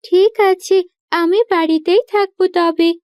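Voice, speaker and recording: A woman plays an eager young village daughter in a cartoon fairy tale. The voice is very high and girlish. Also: steady tone, unhurried, darkish tone, no room sound, clean studio sound. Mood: worried.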